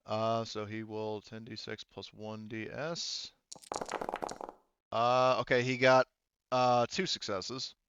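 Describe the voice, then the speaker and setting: Man, game master, casual and profane, halting delivery, slightly low clear voice. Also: little pitch movement, fairly bright tone, highs cut off. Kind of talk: running an online tabletop roleplaying game.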